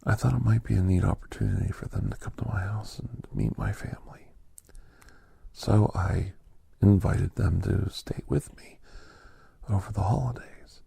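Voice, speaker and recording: A man voicing a kindly, reflective older doctor in soft, half-whispered ASMR storytelling, with breathy, halting short phrases. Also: low voice, fairly even intonation, no echo, exaggerated voice. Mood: hushed, reflective. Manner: unhurried, pausing between short phrases. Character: older doctor recounting his gentle alien encounters, reflective, kindly.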